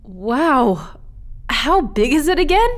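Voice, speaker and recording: A woman playing an inquisitive, excitable space explorer in an audio drama, with a questioning, hesitant way of talking. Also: sits high, slow, exaggerated voice. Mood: curious, uneasy.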